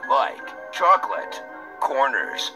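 A man's voice: medium pitch, very animated, takes it slowly. A man narrating a scripted parody game trailer in a mock-dramatic, sardonic announcer style, played back through a screen's speaker. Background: loud music.

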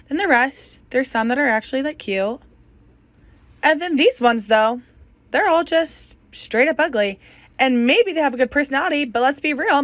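A woman's voice: high voice, a bit bright, dry recording. A young woman giving a chatty, matter-of-fact explainer into a close phone mic. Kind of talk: casual explainer.

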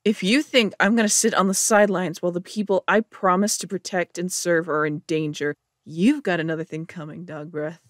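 A man playing a defiant, loyal city police officer in an audio drama, blunt and sharp-tongued. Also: high voice, fairly even intonation, a little fast, hardly any pauses, no echo, clean studio sound. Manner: blunt, stubborn. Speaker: voice actor.

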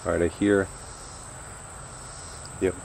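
A middle-aged man with a gravelly voice, talking casually and a little uneasily to viewers in a rambling handheld vlog. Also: deep voice, level tone, slow. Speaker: urban exploration vlogger. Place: abandoned derelict house and surrounding woods. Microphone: handheld camera at arm's length. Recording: faint outdoor noise with chirping insects.

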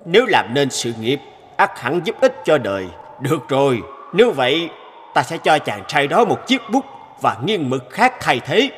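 A man playing a stern but fair old emperor of heaven in an animated fairy tale, stately and commanding. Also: a little high, normal speed, in a large hall, a little hiss or hum. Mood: pensive, benevolent.

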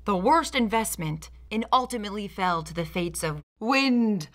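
A woman's voice: mid-range voice, lively intonation, normal speed, fairly bright tone. An American woman from Michigan narrating a scripted video essay in a sardonic manner. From Michigan, United States.

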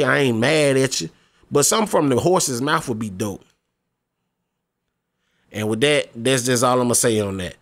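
A young man in his twenties chats through a gaming discussion in an easygoing, slangy way. His voice sits at an ordinary middle pitch, and he keeps circling back and pleading as he talks. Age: 20s. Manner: laid-back, slangy, eager; pleading, repeats himself. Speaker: gaming YouTuber. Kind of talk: casual discussion vlog.

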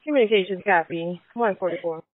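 A woman's voice: on the low side, brisk, heavy background noise. A woman working as an emergency dispatcher, speaking in clipped procedural style over the radio. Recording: lo-fi narrow radio dispatch audio.

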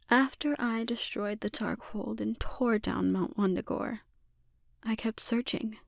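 Woman doing a villain sorceress for asmr roleplay, slow n taunting, bit of fry, whispery and menacing. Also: medium pitch, darkish tone, dry recording, clean studio sound. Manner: slow, deliberate, taunting, lapsing into breathy whispers. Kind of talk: ASMR roleplay, intimate scripted monologue.

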